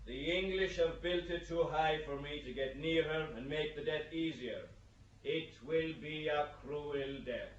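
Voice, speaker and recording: A grim male executioner played by an actor in an audio drama. He speaks slowly and tersely in a matter-of-fact way, with a noticeable echo and slightly dull sound. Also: fairly high pitch, natural voice. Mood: grim, flat. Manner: terse, grave. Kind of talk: audio drama acting of a stage play. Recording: dull audio in echoey room.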